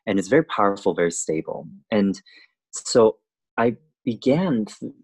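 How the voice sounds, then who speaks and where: Male dancer, native Cantonese speaker, interview guest; low husky voice, reflective and halting. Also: darkish tone, low-quality recording. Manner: thoughtful, halting with many restarts and fillers. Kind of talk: conversational interview.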